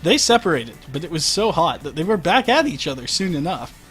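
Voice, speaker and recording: A man's voice: a little high, big pitch swings, a little fast, fairly bright tone, breathy edge. A man reading comic fiction aloud on a livestream, wry in delivery and given to breaking off for asides. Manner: wry, breaks off to comment on the text. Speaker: story reader. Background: faint music.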